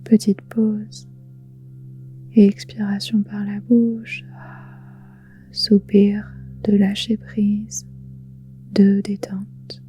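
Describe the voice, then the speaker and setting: Soft whispery woman, very slow meditation guide. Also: mid-range voice, completely flat delivery. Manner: soothing, unhurried, gentle pauses. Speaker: yoga nidra guide. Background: soft music with singing bowls.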